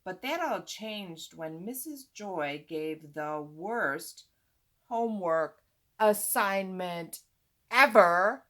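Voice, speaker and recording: A woman's voice: on the low side, expressive, very slow, a bit bright. A woman reading a children's book aloud expressively, drawing out words for emphasis and adding asides for young listeners. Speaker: storytime reader, teacher-like host.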